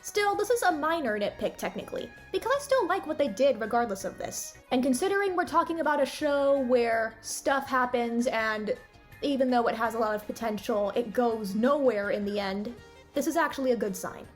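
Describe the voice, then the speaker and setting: A woman's voice: fairly high pitch, expressive, normal speed. A woman giving candid, critical review commentary in a conversational, opinionated way. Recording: music bed behind the voice. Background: background music.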